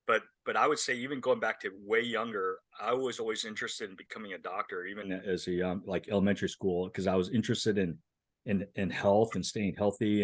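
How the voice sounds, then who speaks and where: A man's voice: fairly low pitch, no room sound. A man in his fifties, a family physician, talking on a panel with a self-deprecating humor and repeated words. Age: 50s. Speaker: family physician, clinical professor. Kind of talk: casual panel talk.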